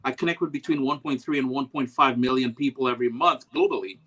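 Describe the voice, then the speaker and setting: A Trinidadian man, a food blogger on a panel, talking in a relaxed, easygoing way. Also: medium pitch, fast, slightly rough. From Trinidad and Tobago. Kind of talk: casual video call panel chat.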